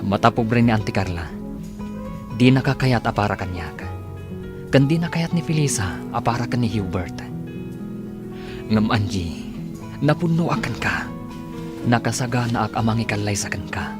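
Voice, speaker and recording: A man's voice: on the low side, animated, normal speed, pauses often, natural voice. A man playing a devoted, determined young suitor in a Filipino radio drama. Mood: earnest, tender. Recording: music bed under the dialogue.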